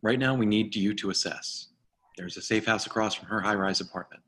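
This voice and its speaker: Stern, low, husky male boss, as acted. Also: fairly quick, a bit bright. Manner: firm, authoritative. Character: stern, commanding male boss of a covert agency. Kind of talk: online screenplay table read.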